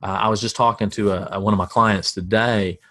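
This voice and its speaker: A man's voice: on the low side, fairly even intonation, brisk, fairly bright tone. A middle-aged man with a Southern American accent, teaching coaches about marketing in a casual, folksy way with self-deprecating jokes.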